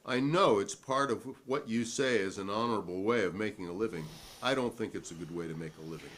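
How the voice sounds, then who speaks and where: A man's voice: on the low side, brisk, fairly bright tone. An American man reading the part of a defiant, principled witness at a 1950s hearing in an emphatic, oratorical way. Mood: matter-of-fact. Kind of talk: dramatic reading of a hearing transcript.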